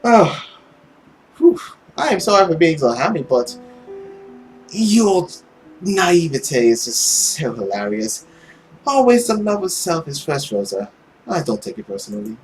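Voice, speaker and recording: A man voicing a bitter, anguished male vampire in a visual novel, with theatrical, drawn-out, sneering delivery. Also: medium pitch, sing-song, soft background music. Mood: mocking.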